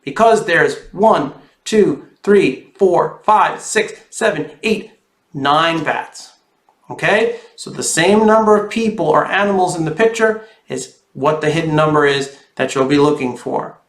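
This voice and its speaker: Guy doing kids read aloud, bit high voice, expressive, talks right to the kids, really echoey. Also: unhurried. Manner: expressive, addresses young viewers directly. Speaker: children's author reading aloud. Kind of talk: animated storybook read-aloud and friendly address to children. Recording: roomy, echoey sound.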